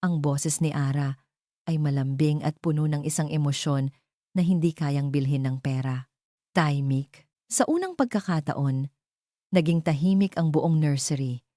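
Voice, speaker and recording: A woman's voice: low voice, measured pace, mellow, no echo, clean studio sound. A woman with a Filipino accent narrating a scripted dramatic story as a storyteller.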